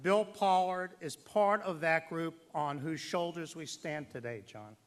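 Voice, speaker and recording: Male banquet speaker, slightly high-pitched, slow pace, formal tribute, emphatic, dramatic pauses. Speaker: master of ceremonies at an award banquet. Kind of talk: formal tribute speech to an audience.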